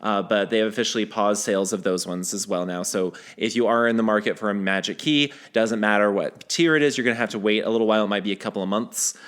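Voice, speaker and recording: A man's voice: fairly low pitch, quick pace, hardly any pauses, a bit bright. A man hosting a podcast, sharing news in a casual, conversational way, teasing his co-host and restarting his sentences as he goes.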